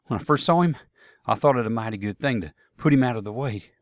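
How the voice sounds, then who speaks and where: A man's voice: medium pitch, expressive, quick pace, dry recording, lightly acted. A man voicing an ashamed, pleading young Confederate sharpshooter, stammering in a story reading. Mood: troubled, pleading.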